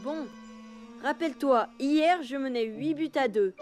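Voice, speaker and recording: In a cartoon, a cocky young elephant boy with a high, boyish voice. He talks with eager confidence, full of schemes, over a clear music bed. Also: unhurried, a little mellow. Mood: confident. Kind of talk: French cartoon dub.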